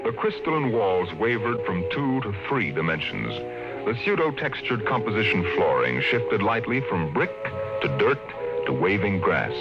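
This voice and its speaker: A man narrating a 1950s radio drama in a measured, dramatic way. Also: fairly low pitch. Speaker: announcer and narrator. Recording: dull 1950s radio recording over loud music.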